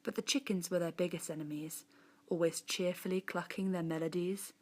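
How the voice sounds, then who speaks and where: A woman reading a children's story-poem in verse, theatrical and expressive, with hushed moments and dramatic swells. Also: fairly low pitch, a little fast.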